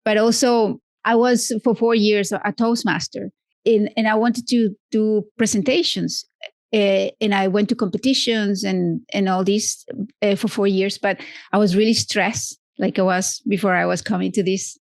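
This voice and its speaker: A woman's voice: medium pitch, steady tone, moderate pace, dry recording. A woman guest on a podcast, chatting casually with many restarts and self-corrections. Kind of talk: casual interview chat.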